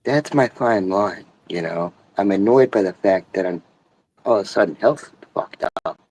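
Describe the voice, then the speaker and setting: A man's voice: on the low side, normal speed, darkish tone, poor audio. A young man talking earnestly on a late-night stream, rambling and swearing a lot.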